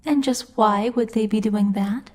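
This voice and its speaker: A woman playing a commanding demon lord in an ASMR roleplay, speaking in a measured, imperious and teasing way, like a strict but protective boss. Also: mid-range voice, darkish tone, close to an everyday voice. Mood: stern, probing.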